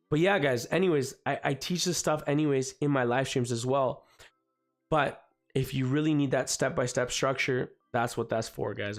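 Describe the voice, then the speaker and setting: A man with a slightly raspy voice from the cold hosting a live trading stream, chatting casually and filling gaps with "guys" and "you know what I mean". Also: mid-range voice. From Canada. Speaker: forex trading livestream host. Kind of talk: live market commentary. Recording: faint music behind.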